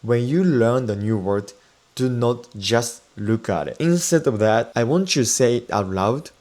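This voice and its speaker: A man's voice: medium pitch, a little slow, a little mellow. A Japanese man, a native speaker with a Japanese accent, explains study tips in an earnest step-by-step video lesson. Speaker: Japanese teacher.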